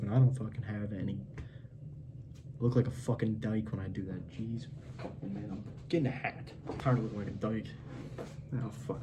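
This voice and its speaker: A man's voice: lowish voice, background noise. A man in his twenties giving a blunt, profane, rambling pep talk as a casual monologue. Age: 20s. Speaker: vlogger.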